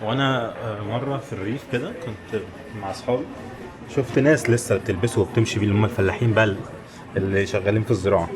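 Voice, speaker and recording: A man's voice: on the low side, very fast. A man from Egypt with an Egyptian accent, chatting casually to viewers as a travel vlogger. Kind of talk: casual vlog chat to viewers. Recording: busy crowd noise around the voice.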